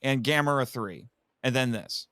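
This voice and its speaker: A man's voice: mid-range voice, moderate pace, pushed and bright, smooth, dry recording, clean studio sound. A man chatting casually about films as a podcast guest, opinionated and effusive, fond of superlatives. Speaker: podcast guest, kaiju film commentator. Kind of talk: casual film discussion.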